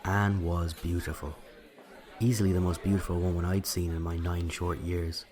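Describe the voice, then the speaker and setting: A man's voice: low voice, level tone, normal speed, a crowd in the background, lightly acted. An Irish man playing a narrator who recalls a night from his childhood, in scripted first-person storytelling. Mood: reflective.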